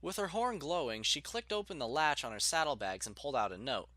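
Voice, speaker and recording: A man's voice: medium pitch, big pitch swings, a little fast, bright, forceful tone, no echo. A man reading scripted story narration as the narrator of an audiobook.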